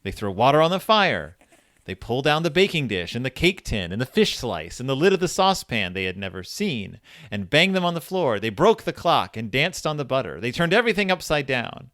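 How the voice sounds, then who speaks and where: A man with a lively, widely swinging voice hosts a podcast in a dry, close-sounding recording. He casually retells a fairy tale, slipping into quoted dialogue and putting leading questions to his listener as a conversational storyteller does. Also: mid-range voice, a little fast, dry recording. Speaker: podcast host.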